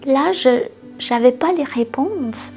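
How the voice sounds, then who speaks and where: Lady, slow n flat, kinda high voice. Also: faint music behind. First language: Vietnamese. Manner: slow, measured, even storytelling. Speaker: interviewee. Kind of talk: personal testimony.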